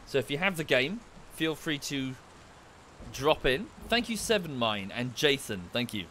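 A man's voice: mid-range voice, big pitch swings, measured pace, bright, forceful tone, slight background noise. A man livestreaming from home, chatting casually and thanking viewers, a little nasal and bunged up from a cold. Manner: rambling, thanks viewers in passing. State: coming down with a cold.

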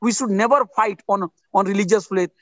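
Earnest high-voiced Indian man. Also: a little fast, fairly bright tone. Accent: Indian English. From India (Bihar). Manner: earnest, repetitive, emphatic with restarts. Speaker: interview guest, writer. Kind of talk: video-call interview. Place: outdoors under trees. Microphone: video call.

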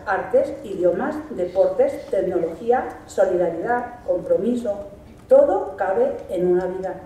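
A middle-aged woman with a Spanish accent, a teacher reading a formal graduation speech from a lectern, measured and emphatic. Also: mid-range voice, warm tone, recorded from a distance. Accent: Spanish from Spain. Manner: measured, emphatic delivery with lists. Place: large hall stage. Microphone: stand mic at a lectern. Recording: echoey hall, recorded from afar.

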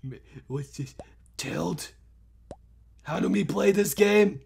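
Man doing a very gravelly, high-pitched childish clone. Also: slow pace, darkish tone, slight background noise, exaggerated voice. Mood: excitable, cheerful. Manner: broken third-person grammar, mild swearing, repetitive exclamations. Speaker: gaming video host. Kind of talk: excited gameplay commentary.

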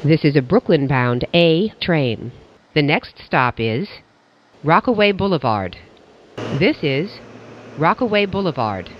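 A train announcer reading scripted transit announcements in a clipped, measured, formal way. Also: medium pitch, sing-song. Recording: dull lo-fi audio with clear background noise.

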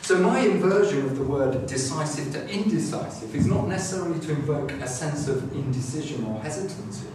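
Man, middle-aged, halting lecture delivery, distant mic, very echoey classroom. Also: a little high, expressive, noisy background. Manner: halting, many restarts and fillers. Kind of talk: academic lecture. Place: classroom with a screen, indoors. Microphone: far camera. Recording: echoey room, far from the camera mic.

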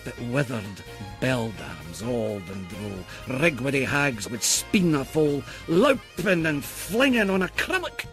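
A man reciting a narrative poem theatrically, swinging from loud outbursts to hushed whispers. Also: mid-range voice, very animated, slow, hardly any pauses, soft background music. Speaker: poetry reader. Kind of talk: dramatic poetry recitation.

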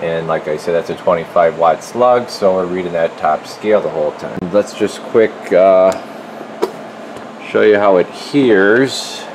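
Low-pitched man casually demonstrating in noisy workshop. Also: unhurried. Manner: informal, folksy, talking while working. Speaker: radio repair technician presenting his work. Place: indoor electronics workshop. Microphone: handheld camera microphone. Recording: steady loud equipment hum and noise.

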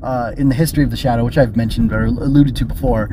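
A man's voice: mid-range voice, steady tone, fast, background noise. A man hosting a casual solo review podcast, rambling and thinking aloud with tentative digressions. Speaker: podcast host and film reviewer.

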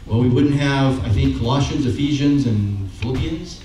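A man's voice: medium pitch, normal speed, mellow. Middle-aged male preacher, chatty and conversational, handheld mic, recorded from across a very echoey sanctuary. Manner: conversational, keeps asking "okay?" and "right?"; walks around the stage with a handheld mic. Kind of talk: sermon. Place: church sanctuary with brick wall. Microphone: handheld microphone, far camera. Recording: very echoey room, from far off, faint noise.

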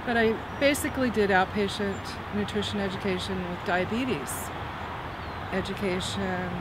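A woman's voice: medium pitch, fairly even intonation, normal speed. A woman in her sixties from California, retired teacher, chatting casually in an interview, with small laughs and restarts, recorded outdoors at a farm. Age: 60s. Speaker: master gardener and retired teacher. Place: outdoors at a school farm by a tree. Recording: outdoor recording with loud traffic noise. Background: passing cars and outdoor ambience.

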